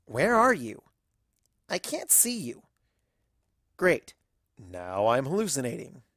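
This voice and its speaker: A woman's voice: very deep voice, wildly swooping pitch, slow pace, a bit bright, no room sound, clean studio sound, heavily acted. A woman voicing an anxious, bookish young female cartoon pony princess who tells her story in a wry, fretful, dramatic way. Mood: bewildered, exasperated. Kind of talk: fan fiction audio reading in character.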